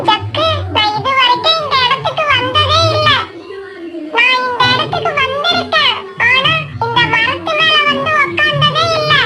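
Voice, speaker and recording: A performer voicing a young, earnest cartoon bird in a children's moral story, speaking clearly like a dutiful child. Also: very high-pitched, measured pace. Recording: music bed behind the voice.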